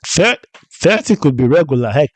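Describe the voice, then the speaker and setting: Hoarse, blunt man hosting reactions. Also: fairly high pitch, sing-song, moderate pace, dry recording. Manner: blunt, joking, repeats himself. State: hoarse from flu. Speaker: reaction channel host. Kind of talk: casual reaction commentary.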